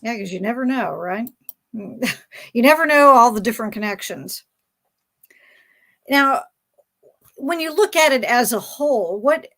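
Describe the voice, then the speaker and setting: A woman's voice: a little high, fairly bright tone. A woman moderating a fan panel discussion, speaking conversationally and feeling her way through her phrasing.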